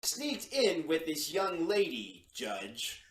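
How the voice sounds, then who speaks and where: A man's voice: fairly high pitch, huge pitch swings, slow pace, heavily acted. A man playing a jumpy, officious judge's aide in an old-time radio drama, flustered and curt, recorded in an echoey room. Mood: curt, dismissive.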